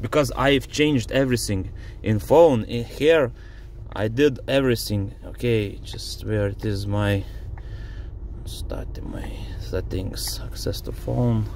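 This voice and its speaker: Lithuanian guy in his car, slow frustrated english, lots of uhs, faint car hum behind. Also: mid-range voice, darkish tone. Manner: frustrated, halting, many fillers. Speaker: driver demonstrating a car app. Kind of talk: casual complaint video. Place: inside a parked car.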